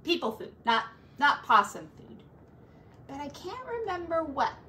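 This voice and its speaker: Woman voicing a high-pitched, kindly grandmother possum. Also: very animated, unhurried, pushed and bright, distant mic, a little hiss or hum, exaggerated voice. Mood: urgent.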